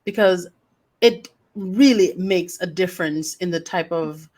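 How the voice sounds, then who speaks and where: A woman's voice: fairly low pitch, unhurried, darkish tone. Affirming female podcast host. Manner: affirming, reflective, frequent encouraging interjections. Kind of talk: interview host.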